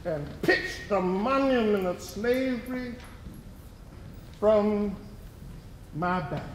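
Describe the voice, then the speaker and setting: Male stage reciter, notably high register, unhurried and impassioned, roomy theatre sound. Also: expressive, a little hiss or hum. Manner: impassioned, deliberate, declamatory. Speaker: stage performer in readers theatre. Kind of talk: dramatic poetry recitation on stage. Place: live stage performance in a hall.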